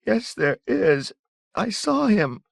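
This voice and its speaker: A man's voice: sits high, sing-song, slow pace, creaky, dry recording, pitched above the performer's own voice, full character voice. A man reading aloud and voicing a frightened young boy who pleads through tears. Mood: pleading. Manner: pleading, sobbing.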